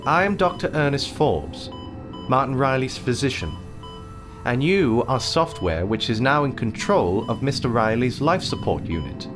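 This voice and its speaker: A man voicing a calm, businesslike male doctor, clinical and matter-of-fact, with soft music behind. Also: mid-range voice, moderate pace, voice pushed up, close to an everyday voice. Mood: detached.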